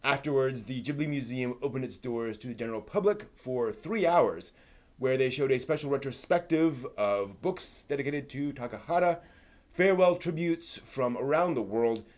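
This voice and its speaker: A man's voice: medium pitch, moderate pace. Conversational man hosting a live stream. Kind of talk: anime news rundown and casual chat to viewers.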